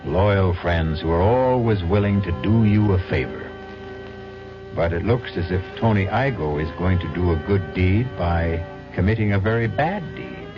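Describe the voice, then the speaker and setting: A man hosting a 1970s radio mystery, narrating in a measured, wry, suspenseful way. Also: low voice, lively intonation. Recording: dull old radio broadcast. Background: dramatic music.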